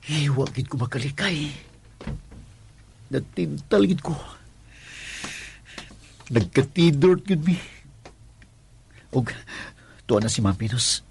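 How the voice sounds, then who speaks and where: High-voiced, jittery young Cebuano security guard played by a man. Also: lively intonation, slow pace, gravelly, long pauses, a little mellow, slight background noise, heavily acted. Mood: guilty, excited. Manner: stammering, hesitant, exclamatory. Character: nervous, lustful young male condo security guard. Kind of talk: Filipino radio drama acting.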